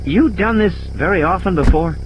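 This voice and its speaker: A man's voice: high voice, lively intonation, normal speed, muffled recording, noisy background, pitched above the performer's own voice. A man voicing an uneasy male passenger who asks short questions, out of his depth, in an audio play.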